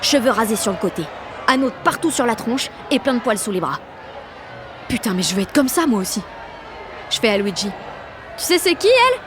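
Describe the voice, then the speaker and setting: A high-pitched, excitable sixteen-year-old girl tells her story in an audio drama. She gushes in slang, swears and mocks her own awkwardness. A clear street crowd and urban noise run behind her. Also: brisk, pauses often. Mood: elated, smitten. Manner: gushing, slangy, swearing, self-mocking. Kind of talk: audio drama, slangy first-person inner narration and dialogue.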